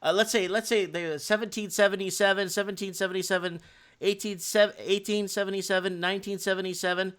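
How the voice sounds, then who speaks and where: Higher-pitched man hosting a rambling live chat. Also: steady tone, a bit bright, smooth. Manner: halting, rambling, says "man" and "like" often. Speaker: live stream host. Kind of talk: casual unscripted call-in chat.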